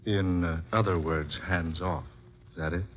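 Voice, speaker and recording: An actor playing a suave, mocking murderer and lover in a theatrical 1940s radio horror drama, smug and condescending. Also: male voice, sits low, steady tone, a little slow. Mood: smug mockery. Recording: muffled vintage 1940s radio recording.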